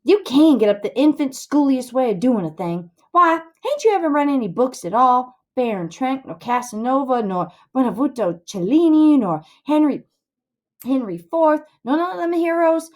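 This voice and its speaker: A woman's voice: high-pitched, sing-song, moderate pace, voice pushed up. A woman voicing a bossy, grandiose boy schemer in a storybook reading, lecturing scornfully in rustic dialect.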